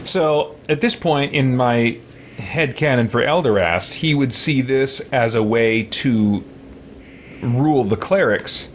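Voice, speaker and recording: A man's voice: mid-range voice, slow pace, slight background noise. A man hosting a roleplay let's play, thinking aloud in casual commentary. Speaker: gaming channel host.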